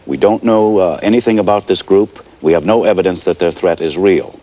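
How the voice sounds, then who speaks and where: A man's voice: sits low, a little fast, mellow, lightly acted. An actor playing a sober, cautious male news anchor who speaks hesitantly in a realistic television bulletin drama.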